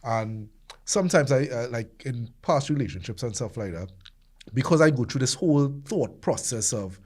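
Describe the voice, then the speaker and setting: A man with a husky, mid-range voice speaks reflectively on a panel. He talks his way through his own thought process out loud. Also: mid-range voice, lively intonation, normal speed. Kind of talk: discussion.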